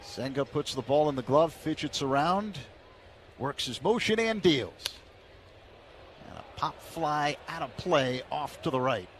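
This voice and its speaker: Male radio play-by-play announcer, somewhat high voice, measured delivery that builds on big plays, light background noise. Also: lively intonation.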